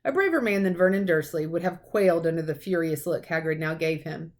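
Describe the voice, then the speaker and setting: A woman reading a children's story aloud like a teacher, in expressive narration. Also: sits low, brisk.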